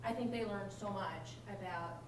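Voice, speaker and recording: A woman's voice: medium pitch, moderate pace. A woman giving informal, chatty remarks at a school board meeting, with many ums and restarts. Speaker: community nonprofit representative. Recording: echoey room, distant mic, noisy.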